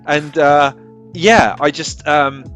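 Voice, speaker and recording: A man, hosting a casual video-call interview from a small home room on a headset mic, effusive and prone to loud, sweary outbursts. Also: on the high side, steady tone, takes it slowly, faint music behind. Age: 30s. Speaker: podcast host.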